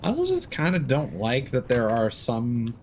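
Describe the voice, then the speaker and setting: A man's voice: medium pitch, wildly swooping pitch, moderate pace, darkish tone, a little hiss or hum. A man giving casual live commentary as he plays a game, with faint game sound behind him. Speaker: game streamer.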